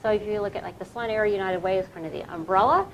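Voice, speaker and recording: A woman hosts a community fundraiser segment in a friendly, chatty manner. Her voice sits in a middle pitch range, and there is a faint hiss under the recording. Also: rapid-fire, rough recording. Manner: friendly, chatty, often laughs. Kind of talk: casual interview and appeal for donations.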